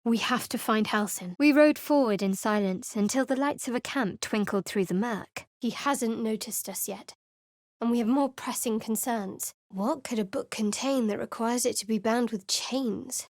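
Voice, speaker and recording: A woman's voice: on the high side, normal speed, no echo, close to an everyday voice. A woman playing a guarded, sardonic young female cleric in a fantasy video game, speaking in a cool, dry, clipped way. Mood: solemn, narrating.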